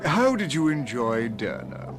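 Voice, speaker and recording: A man's voice: mid-range voice, very animated, measured pace, over loud music. An actor playing a courteous male ocean liner captain, formal and gallant, in an old-time radio drama.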